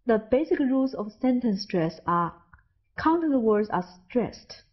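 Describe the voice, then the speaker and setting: A woman's voice: on the high side, expressive, a little slow, highs cut off. A Chinese woman with a Chinese accent, an English teacher, narrating a scripted lesson and carefully stressing example words. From China.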